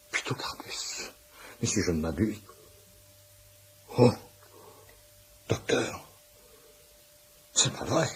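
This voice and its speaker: An actor playing a flustered, eager middle-aged patient who fancies himself a healer, stammering and apologetic in a French radio comedy. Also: male voice, on the low side, slight background noise. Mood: astonished. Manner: stammering, apologetic, self-justifying.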